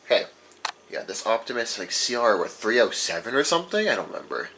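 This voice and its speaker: A man's voice: mid-range voice, big pitch swings, fast, sharp tone. A man giving a casual, unscripted show-and-tell as a collector, rambling informally and correcting himself as he goes. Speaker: radio collector presenting his collection. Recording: faint background noise.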